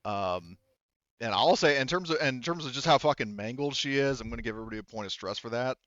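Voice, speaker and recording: Male game master, smooth clear tone, informal and sweary, dry but slightly dull recording. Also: medium pitch, expressive, fast, a bit bright, no room sound. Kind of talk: casual improvised tabletop game narration.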